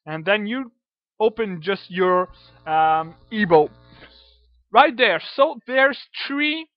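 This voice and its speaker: A man's voice: high voice, animated, slow, no echo. A man with a French accent, a native French speaker, giving a casual screen-recorded modding tutorial, hesitant with many fillers and casual swearing. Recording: dull dry lo-fi computer mic.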